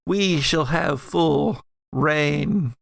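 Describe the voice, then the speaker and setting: A man's voice: on the high side, vocal fry, no echo, clean studio sound, voice pushed up, full character voice. A man voicing a menacing older male apparition, formal and portentous, in a tabletop horror game. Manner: slow, ominous, portentous.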